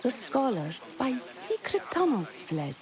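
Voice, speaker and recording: A woman's voice: sits high, wildly swooping pitch, a little slow, full character voice. A woman playing a flippant, theatrical poet and gossip in a video game, arch in manner, with crowd chatter behind. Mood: amused, theatrical.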